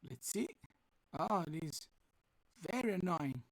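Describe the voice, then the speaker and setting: A man with a rough, husky voice teaching a live online class, talking haltingly as he works on his computer audio. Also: sits high, very animated, dry recording. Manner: halting, restarts while fixing audio.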